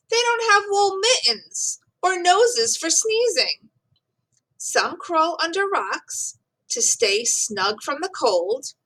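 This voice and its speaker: High, bright-voiced woman reading aloud. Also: low-quality recording. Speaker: teacher reading aloud to children. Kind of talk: expressive children's read-aloud of rhyming poem and facts.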